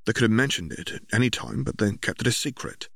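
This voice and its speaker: Audiobook little sister, male reader, exasperated, sharp and hushed, close dry sound. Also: mid-range voice, lively intonation, fast, creaky, dry recording, pitched above the performer's own voice. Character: young girl, exasperated sister scolding her brother.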